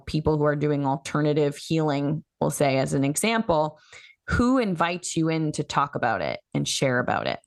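A woman's voice: low voice, creaky, no echo, clean studio sound. A woman who works as a human design coach, explaining her methods as a podcast guest in a coaching tone. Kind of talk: podcast interview.

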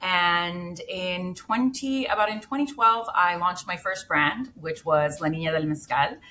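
Bright-voiced woman in casual talk. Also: lowish voice, a little slow, a little hiss or hum. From Mexico. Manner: earnest, reflective, with frequent restarts. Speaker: guest, beverage brand founder. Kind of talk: casual interview chat.

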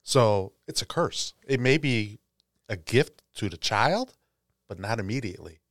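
A man with a slightly low voice hosts a casual tabletop gaming podcast. He is recorded dry and close. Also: lively intonation, measured pace, fairly bright tone, no echo. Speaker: podcast host.

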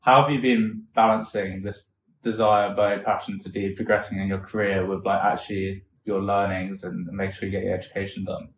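A man hosting a podcast, asking long, relaxed, rambling questions. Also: low voice, brisk. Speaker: podcast host. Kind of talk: casual podcast interview. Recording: roomy echo, faint room noise.

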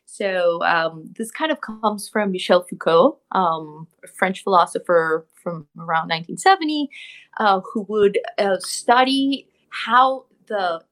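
A woman's voice: mid-range voice, animated, measured pace, a bit bright. Woman, reflective interview guest, mid voice. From Colombia. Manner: thoughtful, explanatory, frequent ums. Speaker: guest artist. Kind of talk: interview answers.

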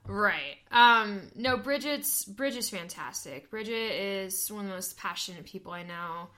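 A woman's voice: medium pitch, expressive, unhurried, pushed and bright. Wry young woman, dry humor. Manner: dry, self-deprecating humor with frequent fillers. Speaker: podcast co-host. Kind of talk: casual chat.

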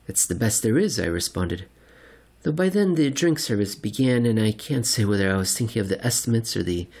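A man reading a scripted comic science-fiction story in the first person, with a dry, deadpan, wordy delivery. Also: on the low side, a little fast. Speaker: narrator.

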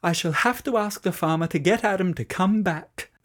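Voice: medium pitch, moderate pace, fairly bright tone, pitched above the performer's own voice, lightly acted. A narrator voicing Francesca, a dramatic young ewe who loves to decorate herself, gushing and tearfully pleading. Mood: rueful.

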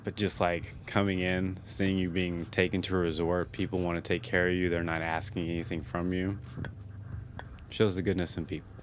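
A man's voice: low-pitched, flat delivery, moderate pace, slight background noise. A man co-hosting a casual podcast, chatty and upbeat, laughing easily as he shares stories. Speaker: podcast co-host.

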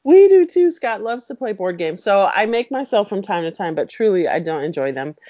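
A woman's voice: mid-range voice, very animated, a little fast, dark tone, no echo, muffled recording. A middle-aged woman, a clinical psychologist, chatting as a podcast guest in an easy, upbeat way. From Mexico City. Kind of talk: casual interview chat.

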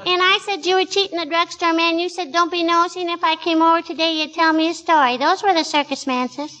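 A woman's voice: extremely high pitch, steady tone, fairly quick, sharp tone, rough recording. A woman playing a sassy, nosy little girl who pesters her neighbour, in 1940s radio comedy style. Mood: pert. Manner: childish, sassy, repeats catchphrases. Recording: vintage 1940s radio broadcast.

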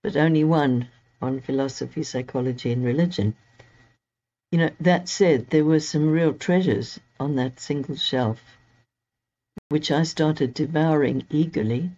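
A woman's voice: low-pitched, a little slow, warm tone. A woman from Zimbabwe, a guest astrologer, telling stories from her past in a reflective, anecdotal way over a remote call. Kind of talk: conversational interview storytelling. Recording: slightly dull lo-fi audio.

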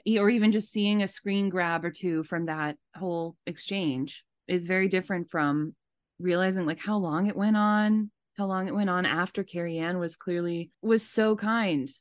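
A woman's voice: fairly low pitch, normal speed, no room sound. A woman hosting a podcast, giving casual, emphatic commentary and repeating phrases for stress. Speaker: podcast host.

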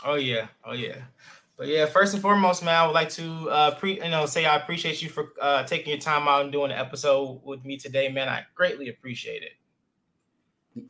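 Upbeat male podcast host, bright and forceful tone, somewhat high voice. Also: steady tone, moderate pace. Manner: upbeat, friendly, says man and brother a lot. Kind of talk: casual interview hosting.

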